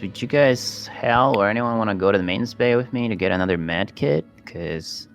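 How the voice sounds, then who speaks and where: A man with a fairly low voice plays a tabletop roleplaying game. He talks things over casually with the group as a player. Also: normal speed, gravelly, faint music behind. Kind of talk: casual chat during a game.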